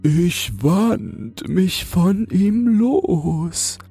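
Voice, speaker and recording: A man's voice: high voice, big pitch swings, drawn-out words, gravelly, warm tone, slightly rough, soft background music, voice pushed up, full character voice. A man voicing a haughty little caterpillar that drawls in a pompous, indignant way for a storybook. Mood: self-satisfied, weary. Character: slow, haughty, self-important little caterpillar.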